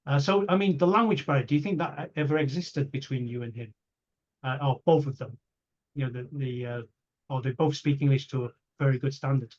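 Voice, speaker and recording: Dull-sounding male interviewer, mid-pitched. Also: brisk, a little mellow. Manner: hesitant, repetitive, rambling phrasing. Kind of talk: casual chat. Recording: dull lo-fi audio.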